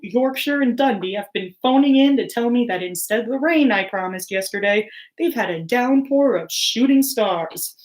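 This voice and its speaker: A woman's voice: medium pitch, close to an everyday voice, rough recording. A woman voicing a folksy, chatty male TV weatherman in a storybook. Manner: chatty, presentational.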